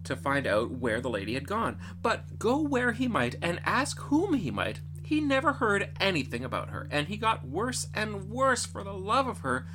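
A man's voice: sits high, wildly swooping pitch, normal speed, few pauses, noisy background. A man narrating a storybook tale in a playful, theatrical way, with music behind him.

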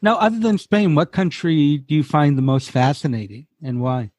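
A man's voice: on the high side, normal speed, a little mellow, no room sound. A man hosting a podcast, reading introductions and asking friendly, upbeat questions. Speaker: podcast host. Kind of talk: interview hosting, scripted reads.

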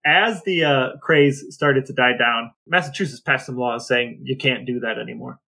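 A man's voice: mid-range voice, normal speed, creaky, pushed and bright, recorded from a distance. A man co-hosting a podcast in casual chat, asking tongue-in-cheek questions in a mock-serious way. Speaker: podcast co-host.

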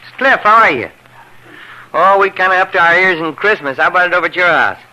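A man's voice: sits high, lively intonation, fast talker, sharp tone, full character voice, poor audio. A man playing an easygoing grown son in a 1950s radio drama, casual and affectionately teasing. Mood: chatty.

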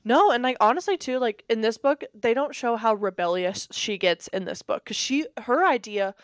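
A woman co-hosting a podcast, chatting casually with many fillers and frequent laughs, in a slightly deep voice. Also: animated, moderate pace, no echo, studio quality. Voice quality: rambling, filler-heavy, laughs often; deeper voice. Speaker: podcast co-host. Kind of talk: casual chat.

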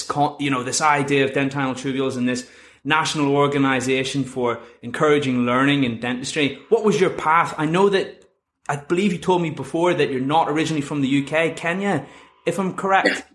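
A man's voice: on the high side, fairly quick, fairly bright tone. A man hosting a podcast, chatty and upbeat in casual conversation. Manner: chatty, upbeat, British colloquial. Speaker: podcast host. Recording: echoey room.

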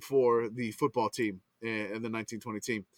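A man's voice: mid-range voice, flat delivery, a little fast, no echo. A man from Louisiana with a Louisiana accent, rambling casually through sports history on a podcast and laughing at himself. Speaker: podcast co-host. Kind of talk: casual chat.

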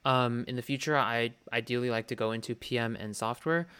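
A man in his early twenties speaks at a middle pitch with a smooth, clean tone. He co-hosts a podcast from home, easygoing and filler-heavy as he talks. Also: a little fast. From Colorado. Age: 20s. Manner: relaxed, many ums. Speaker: podcast co-host. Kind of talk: casual podcast chat, partly read. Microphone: remote home mic.

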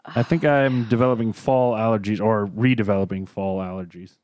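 A man's voice: on the low side, fairly even intonation, brisk, a little mellow, no room sound. A man co-hosting a casual podcast, rambling and losing his train of thought, with a lingering cough and tickly throat. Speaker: podcast co-host. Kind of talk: casual chat.

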